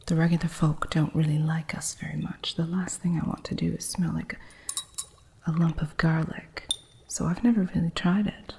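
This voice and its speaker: A woman playing a female witch shopkeeper who teaches an apprentice, in a soft whisper with dry humor, for an ASMR roleplay. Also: low-pitched, little pitch movement, moderate pace, vocal fry, a little hiss or hum. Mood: hushed.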